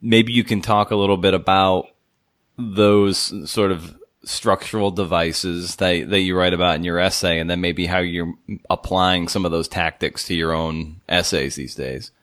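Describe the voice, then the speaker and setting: A man's voice: low-pitched, steady tone, normal speed, a bit bright, no room sound, clean studio sound. A man interviewing a writer, rephrasing his questions as he goes.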